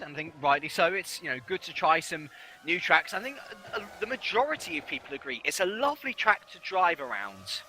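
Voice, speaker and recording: A high, very bright male voice calls a sim racing qualifying session live. He talks fluently and analytically, reeling off positions and lap times with steady authority. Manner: fluent, analytical, reads out standings. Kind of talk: live race commentary.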